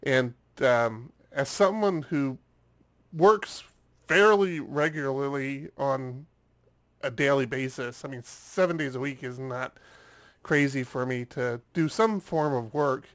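A man's voice: medium pitch, takes it slowly, a bit bright. A man co-hosting a podcast in casual chat, still congested from a cold and rambling, repeating words as he restarts. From United States. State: congested, getting over a cold. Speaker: podcast co-host.

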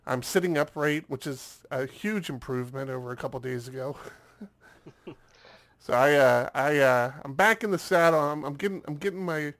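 A man's voice: fairly high pitch, normal speed, fairly bright tone. Man with a stuffy, congested voice. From United States. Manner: rambling, repeats words on restarts. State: congested, getting over a cold. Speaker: podcast co-host. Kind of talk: casual chat.

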